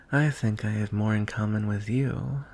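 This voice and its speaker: Guy doing a lonely courtly dragon for fantasy asmr, soft n breathy, gravelly low end, real slow. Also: slight background noise. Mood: tender, admiring. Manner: soft, hushed, breathy delivery with deliberate pauses. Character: lonely, courtly male dragon wooing a prince.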